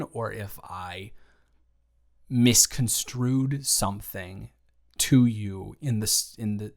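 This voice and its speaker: A man performing an improvised roleplay audio, speaking slowly and carefully with a soft, reassuring manner. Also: fairly low pitch. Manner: slow, careful, measured speech with pauses.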